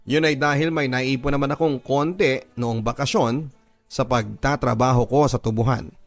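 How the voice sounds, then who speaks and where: A man reading a listener's letter aloud as a first-person story, in a measured and earnest way. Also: mid-range voice, steady tone, soft background music.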